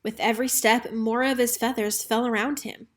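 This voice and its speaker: A woman narrating a children's story aloud in an expressive read-aloud style. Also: fairly high pitch, moderate pace. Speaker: storybook reader.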